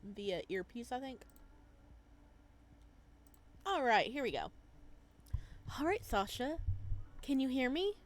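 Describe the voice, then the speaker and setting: A woman hosting a gaming video, chatting casually and reading game text aloud, moving between calm narration and excitable, playful reactions. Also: sits high, slow pace, long pauses.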